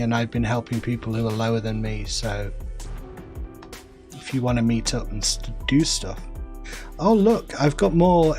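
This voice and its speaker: A man with a mild northern English accent, softened by time in the south, talks in an easy mid-range voice while he plays. He muses aloud and answers his viewers in a relaxed, chatty way. Light music plays quietly behind him. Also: mid-range voice. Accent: mild Northern English, softened by years in the south. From northern England. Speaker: gaming streamer. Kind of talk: casual live-stream commentary while playing.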